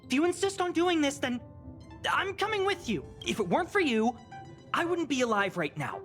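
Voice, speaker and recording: A woman's voice: sits high, sing-song, moderate pace. A woman voicing a prickly, flustered young game heroine who secretly cares, huffy and stammering, with music behind. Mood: pleading, determined. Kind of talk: animated video game voice acting.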